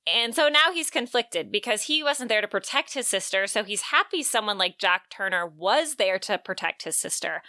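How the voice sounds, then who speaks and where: A woman's voice: medium pitch, expressive, moderate pace, hardly any pauses, sharp tone, no echo, clean studio sound. A woman co-hosting a podcast, recapping and discussing a book. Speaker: podcast co-host. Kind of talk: book discussion with plot recap and read quotes.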